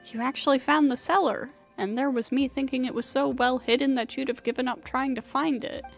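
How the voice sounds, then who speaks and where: Weary, high-voiced young female game rider. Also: steady tone, brisk, gravelly. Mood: guarded, resigned. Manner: weary, venting, self-deprecating. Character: young female flying rider, burnt-out, bitter, self-doubting dropout. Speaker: video game character. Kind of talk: scripted video game voice acting. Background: game music.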